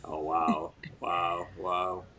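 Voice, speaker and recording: A man's voice: low-pitched, little pitch movement, very slow, bright, forceful tone. A man co-hosting a faith interview show, welcoming a guest and leading a prayer, with many hesitations and repeated welcomes.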